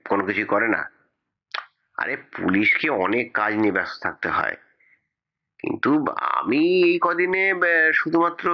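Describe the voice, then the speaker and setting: Gravelly, animated police investigator, acted by a man. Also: on the low side, lo-fi sound. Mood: defensive, then frustrated. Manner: emphatic, explanatory, with rhetorical questions. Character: male police investigator, defensive and baffled by his case. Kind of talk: audio story acting.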